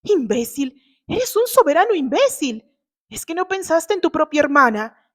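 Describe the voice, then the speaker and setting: A woman's voice: high-pitched, big pitch swings, moderate pace, voice pushed up. A woman voicing a proud, wronged young man in a dramatized novel reading, challenging and indignant. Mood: furious. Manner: challenging, interrogating.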